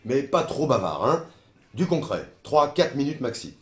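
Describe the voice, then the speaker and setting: A man's voice: mid-range voice, very animated, moderate pace, close to an everyday voice. A man playing a pragmatic, matter-of-fact local in a scripted promotional dialogue. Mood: businesslike. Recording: roomy echo, music behind voice. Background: music.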